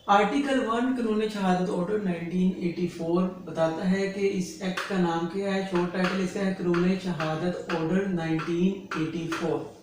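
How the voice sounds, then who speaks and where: A man's voice: high-pitched, darkish tone. A man with a Pakistani accent giving an instructional lecture in a didactic manner, repeating key phrases for clarity. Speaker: lecturer and advocate explaining law. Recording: very echoey room, distant mic, faint noise.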